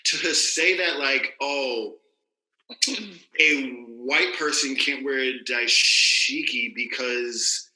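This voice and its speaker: A man on a casual group podcast who talks hesitantly and stammers when he is pushed. His voice is very bright and tense, at a middle pitch. He sounds like he is in an echoey room. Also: steady tone, slow pace. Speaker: podcast co-host.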